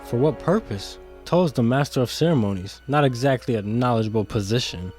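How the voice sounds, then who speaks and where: A medium-pitched male guard acted by a man who answers like a loyal subordinate. He is restrained, respectful and matter-of-fact, in an audio drama with soft music underneath. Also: animated, fairly quick, husky. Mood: dutiful, businesslike. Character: deferential, businesslike male guard serving the arena master.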